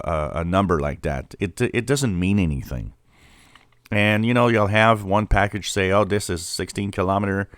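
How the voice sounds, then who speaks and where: A man's voice: low-pitched, fairly bright tone, smooth, no echo, clean studio sound. A middle-aged man from Canada giving a casual, unscripted explainer as a radio hobbyist, in a hesitant, conversational way. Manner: hesitant, conversational, repeats points plainly. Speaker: amateur radio hobbyist presenter.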